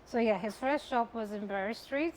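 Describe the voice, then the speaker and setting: Chatty middle-aged businesswoman, higher voice. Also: moderate pace, a little hiss or hum, poor audio. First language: Persian. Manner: chatty storyteller, lively when recounting. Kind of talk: interview conversation.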